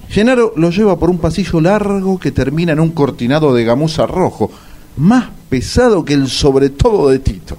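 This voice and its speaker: A man's voice: mid-range voice, warm tone. A man giving wry, scripted comedy narration.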